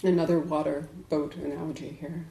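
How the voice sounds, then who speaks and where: A woman giving a dharma talk to a group as a Buddhist teacher, speaking in a measured, deliberate way with emphatic pauses. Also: low voice, level tone, gravelly, dark tone. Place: indoors in a room. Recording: echoey room.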